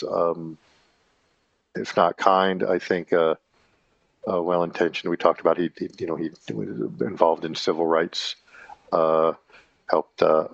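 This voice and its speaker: A man in his fifties with a very low voice talks in a loose, halting monologue, stopping often with fillers and correcting himself. The sound is dry and a bit muffled. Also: vocal fry, no echo. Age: 50s. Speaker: channel host. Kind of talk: casual rambling monologue.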